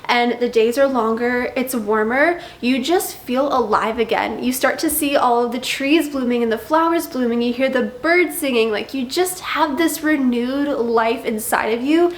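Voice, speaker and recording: Young woman, bubbly chatty vlogger, a bit nasal, roomy echo. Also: on the high side. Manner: bubbly, chatty, drawn-out emphasis. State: slightly nasal from congestion. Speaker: lifestyle vlogger. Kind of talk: chatty vlog talk to camera.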